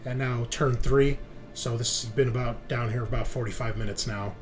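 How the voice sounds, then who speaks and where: A man's voice: mid-range voice, fairly even intonation, moderate pace. A man casually narrating and running a tabletop roleplaying game as game master. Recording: music playing under the voice. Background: background music.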